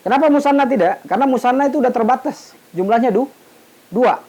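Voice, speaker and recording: A man's voice: extremely high pitch, fast, darkish tone, slightly rough. A man giving an informal, explanatory religious lesson, posing questions and answering them, often repeating half-said words to finish them. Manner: didactic, asks questions then answers them. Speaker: Islamic studies teacher.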